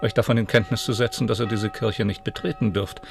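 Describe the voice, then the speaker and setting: An actor playing a pompous, condescending medieval sacristan monk with formal speech in a radio drama. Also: male voice, lowish voice, fairly even intonation, brisk, gravelly, over music. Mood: haughty, severe.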